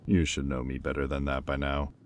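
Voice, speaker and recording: Very deep, sardonic demon played by a man. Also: monotone, slight background noise. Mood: smug, intrigued. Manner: sardonic, condescending, unhurried with deliberate pauses. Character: haughty, sardonic male demon bidder at auction. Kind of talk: audio roleplay acting.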